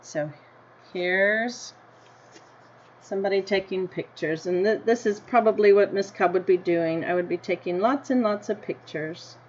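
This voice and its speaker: An American woman teacher reading a picture book aloud to children, deliberately, with simple explanatory asides and questions to her listeners. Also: mid-range voice. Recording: dull lo-fi sound with noticeable background noise.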